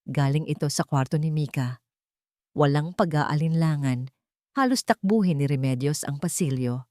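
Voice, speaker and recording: A woman's voice: low-pitched, moderate pace, darkish tone, no echo, studio quality. A woman narrating a scripted dramatic story.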